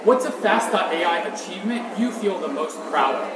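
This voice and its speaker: A man hosts a quick-fire interview, delivering short prepared questions. His voice is echoey, with clear outdoor ambient noise around it. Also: high voice, expressive. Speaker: interviewer, host. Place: outdoor city walkway.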